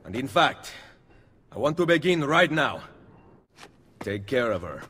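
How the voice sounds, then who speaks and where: A man's voice: mid-range voice, sing-song, measured pace, sharp tone, husky, exaggerated voice. An actor playing a resolute male assassin hero with an Italian accent, decisive and earnest in a video game drama. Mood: determined. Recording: long reverb, music behind.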